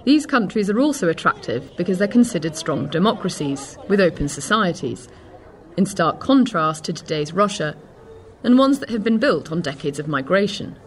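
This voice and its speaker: A woman's voice: on the low side, expressive, moderate pace. A woman reporter reading scripted narration for a news feature.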